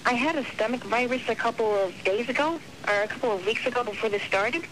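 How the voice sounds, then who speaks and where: Bright, hesitant woman caller, put on by a prankster. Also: mid-range voice, quick pace, close to an everyday voice. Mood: hesitant, polite. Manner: hesitant, polite. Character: meek, polite woman caller with health complaints. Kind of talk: prank call to radio show. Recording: slightly noisy telephone call to radio.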